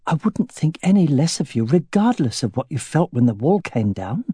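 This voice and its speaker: Male narrator doing a young wife, higher and warm, gentle and steady. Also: normal speed, slightly rough, no room sound, clean studio sound. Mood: reassuring. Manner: gentle, reassuring. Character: young wife, tender but firm.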